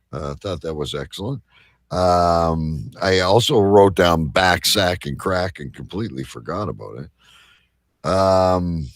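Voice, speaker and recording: A man's voice: deep voice, dry recording, clean studio sound. A man hosting a live show with casual, jokey banter and a rambling manner. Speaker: host of a live online show.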